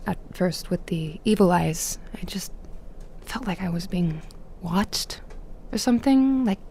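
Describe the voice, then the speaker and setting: A woman's voice: on the low side, expressive, measured pace, mellow, slightly rough, heavily acted. A young woman acting in an audio drama as a guarded, awkward academic, speaking in a hesitant, reserved way that trails off. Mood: hesitant, nostalgic. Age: young adult.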